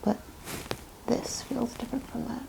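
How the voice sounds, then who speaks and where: Soft-spoken, creaky-voiced ASMR woman. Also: on the high side, steady tone, normal speed. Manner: soft-spoken, often dropping to whispers, instructing step by step. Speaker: ASMR performer playing a medical examiner. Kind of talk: ASMR clinical exam roleplay. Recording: clear background noise and ambience.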